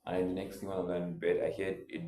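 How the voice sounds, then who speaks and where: A young man tells his own story in a loose, rambling way, leaning on filler words as he goes. His voice sits very low and sounds mellow and soft-edged. The room around him adds a clear echo. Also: flat delivery, racing. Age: young adult. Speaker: vlogger. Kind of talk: casual personal storytelling.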